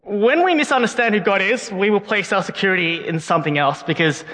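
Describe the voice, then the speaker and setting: A man's voice: high-pitched, a little fast, sharp tone. A young man preaching a sermon as a guest speaker, earnest and often repeating words as he goes. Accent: non-Canadian English, unplaced. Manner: earnest, frequent word repeats. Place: church hall. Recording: long hall reverb.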